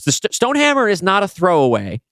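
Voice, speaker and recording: A man's voice: sits high, wildly swooping pitch, fast talker, a bit bright, no room sound, clean studio sound. A man co-hosting a podcast in casual conversation, speaking in an emphatic, enthusiastic way. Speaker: podcast co-host and writer.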